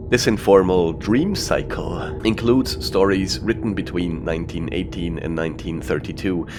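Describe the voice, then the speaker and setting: A man giving casual gameplay commentary, reading text aloud and muttering asides to himself as he plays. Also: deep voice. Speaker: game streamer. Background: game music.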